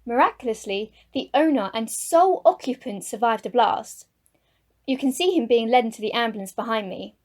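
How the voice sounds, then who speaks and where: A woman's voice: a little high, lively intonation, moderate pace. A woman playing an earnest, formal news reporter giving an outside broadcast in a radio play. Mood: composed, earnest.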